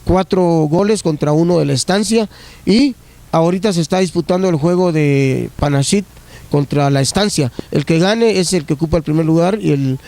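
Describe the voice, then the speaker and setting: A man's voice: on the high side, moderate pace, gravelly, a little mellow. A man from Guatemala, a municipal youth coordinator, answering an on-site interview at a stadium in a hesitant way, with many fillers and restarts.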